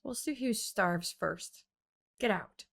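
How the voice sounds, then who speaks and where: A woman's voice: mid-range voice, animated, slow, creaky, heavily acted. Stern commander, an angry father, firm and curt, fantasy story, read by a woman. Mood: firm anger.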